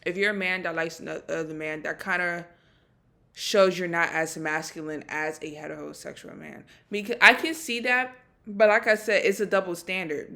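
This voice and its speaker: A woman's voice: fairly low pitch, pushed and bright. A woman co-hosting a casual reaction chat, laid-back and slangy, often checking in with "you know what I mean". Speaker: co-host.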